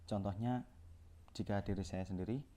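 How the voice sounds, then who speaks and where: A man's voice: on the low side, steady tone, moderate pace, warm tone. A young man, a communication student, reading a course presentation aloud and often stopping to correct himself mid-word. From Central Java, Indonesia. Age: young adult. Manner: reads from notes with frequent self-corrections and restarts.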